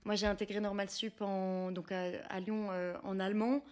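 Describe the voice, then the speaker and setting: A woman's voice: medium pitch, flat delivery, moderate pace, darkish tone. Interview guest: young woman, French accent, mid-range voice, reflective and explanatory, slightly hesitant. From France. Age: young adult. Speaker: guest, researcher, teacher and actress. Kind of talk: conversational interview answers.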